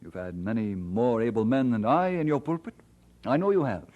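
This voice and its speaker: An actor playing an earnest, devoted minister and husband in an old-time radio drama, speaking in a measured, sincere way. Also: male voice, mid-range voice, expressive, darkish tone, highs cut off, close to an everyday voice. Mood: earnest, deliberate.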